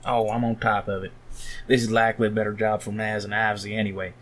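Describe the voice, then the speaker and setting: Man playing a calm, lowish, deadpan ghost hunter. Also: quick pace, natural voice. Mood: dry. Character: measured, dry, bureaucratic ghost hunter. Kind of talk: audio drama acting.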